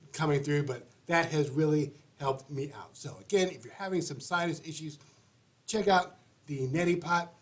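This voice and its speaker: A man hosting an advice show, sounding congested and stuffy-nosed, talking in a rambling, chatty way with restarts. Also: fairly high pitch. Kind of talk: casual livestream monologue.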